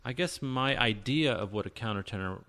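A man interviews a fellow singer in a loose, friendly manner. He rambles and breaks off often, restarting his sentences before he finishes them. His pitch sits at an average level for a man. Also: animated, moderate pace, a bit bright. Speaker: interviewer, tenor. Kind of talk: casual interview chat.

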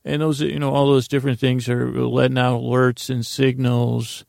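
A man hosting a podcast, telling a meandering, halting bedtime story in a goofy, self-deprecating way. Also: medium pitch, level tone, creaky, darkish tone, no room sound, clean studio sound. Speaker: podcast host. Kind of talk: rambling bedtime story monologue.

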